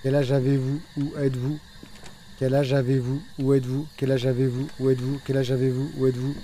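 A man's voice: mid-range voice, level tone, brisk, warm tone, a little hiss or hum, rough recording. A man streaming a ghost-hunting game live, giving urgent, repetitive directions to his teammates. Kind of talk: live gaming commentary.

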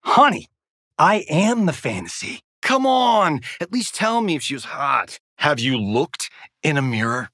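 A cocky American action-movie star from a fighting game. His voice is fairly high for a man and swings widely as he swaggers through sarcastic one-liners. He takes his time over each showy line, in a very dry, close recording. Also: very animated, unhurried, pushed and bright, no echo, heavily acted. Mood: brash, boastful.

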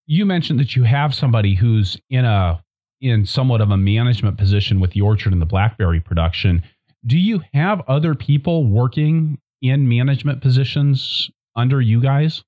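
A man's voice: mid-range voice, fairly quick, muffled recording. A man hosting a farming podcast, thoughtful and emphatic in conversation. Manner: thoughtful, emphatic, lively inflection. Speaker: podcast host. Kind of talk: conversational interview.

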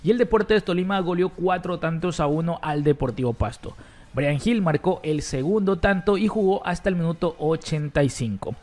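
A man presenting a football news roundup for an online channel, brisk and informative. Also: on the high side, a little mellow. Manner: brisk, reads quotes aloud.

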